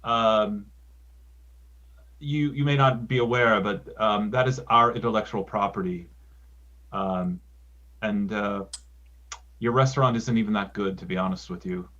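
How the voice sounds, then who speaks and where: A man's voice: on the low side, a little slow, pauses often, fairly bright tone, dull audio. A man improv performer speaking hesitantly and stammering in a comedy scene over a video call.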